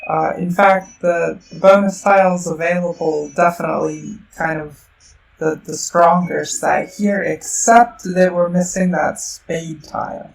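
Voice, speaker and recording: A woman streaming a board game, thinking out loud with plenty of fillers and restarts, her voice worn by a sore throat and a little robotic-sounding. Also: deep voice, vocal fry. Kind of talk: casual live-stream game commentary. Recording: roomy, distant mic with faint noise.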